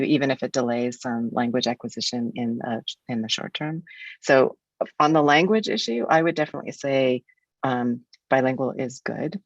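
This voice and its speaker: A woman's voice: rumbling low, dry recording, lo-fi sound. A woman in her sixties from the United States, a guest speaker in an academic webinar, talking in a frank, reflective way with some restarts.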